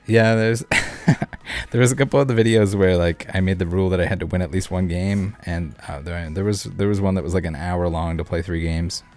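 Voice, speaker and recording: A male streamer with a low voice talks casually through a card game. He rambles and jokes with his viewers in a laid-back way. Faint music plays behind him. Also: fairly even intonation, brisk, darkish tone. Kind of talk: casual live game commentary and chat with viewers. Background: background music.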